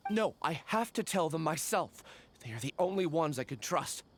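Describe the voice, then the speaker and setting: A man voicing a distressed, weakened man in a video game, strained, halting and urgent. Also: on the high side, lively intonation, noisy background, lightly acted. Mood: desperate. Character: distressed, weakened man desperate to report a crime.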